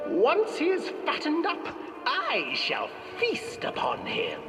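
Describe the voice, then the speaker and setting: Wicked old storybook witch, played by a man, high and theatrical, menacing, ringing in an echoey hall. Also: wildly swooping pitch, slow pace, exaggerated voice. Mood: gloating. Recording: echoey hall, distant, music behind.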